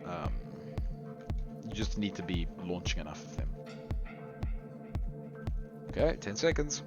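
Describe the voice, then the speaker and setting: A man giving chatty live commentary on a game tournament, his voice going hoarse. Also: low-pitched, sing-song, measured pace. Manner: chatty, rambling, lots of restarts and laughs. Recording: dull lo-fi recording with faint music.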